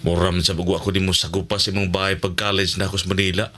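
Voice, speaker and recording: An actor playing a friendly, hospitable young cousin in a radio drama, talking in a casual, animated, reassuring way. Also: male voice, sits low, rapid-fire, slight background noise.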